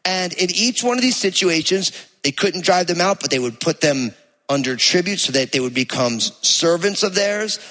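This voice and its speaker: A male pastor with a New Jersey accent preaches in a conversational, emphatic way. His voice is bright and slightly high for a man. Long reverb rings through the church hall around him. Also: lively intonation. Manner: conversational, emphatic, slows to read place names. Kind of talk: sermon with scripture reading. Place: church hall with live congregation.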